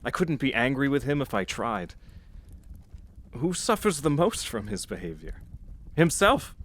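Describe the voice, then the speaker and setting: A man's voice: medium pitch, big pitch swings, unhurried, fairly bright tone. Man acting a jovial, forgiving young nephew. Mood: good-humored. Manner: jovial, earnest. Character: cheerful, forgiving nephew, warm-hearted and merry. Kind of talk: audio drama acting.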